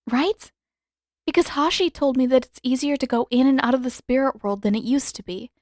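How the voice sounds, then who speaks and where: A woman voicing an earnest teenage girl hero in a story reading, halting and emotional, as if holding back hurt. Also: high-pitched, a little mellow, dry recording, studio quality, pitched above the performer's own voice, close to an everyday voice. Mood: forced optimism. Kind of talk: dramatic audiobook character reading.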